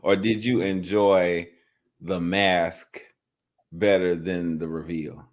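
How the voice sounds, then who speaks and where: A man with a low-pitched voice chats casually on a panel podcast. His delivery is blunt and slangy, with a campy streak. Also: steady tone, slow pace. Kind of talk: casual group chat.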